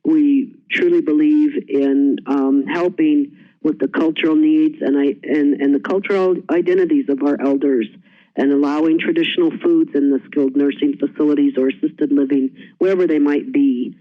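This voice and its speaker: A woman's voice: sits low, steady tone, moderate pace. A woman giving an earnest, encouraging webinar presentation for an elder-care group. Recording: muffled, dry conference audio.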